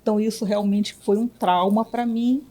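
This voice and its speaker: A woman from Belém, a physician and union director, answering interview questions in a reflective way with many pauses and restarts. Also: mid-range voice, fairly even intonation, warm tone. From Belém, Brazil.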